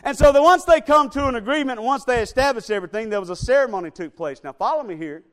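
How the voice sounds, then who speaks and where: Man, preacher, high voice, smooth and resonant, loud build-ups, quiet appeals. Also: animated, quick pace. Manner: swings between loud shouted build-ups and soft low appeals. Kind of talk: sermon.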